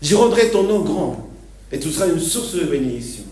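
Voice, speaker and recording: Male pastor, stop-start delivery with frequent laughs, mellow voice; distant mic in an echoey church hall. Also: on the high side, very animated. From Argentina. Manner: halting, repeats words, laughs often. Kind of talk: sermon.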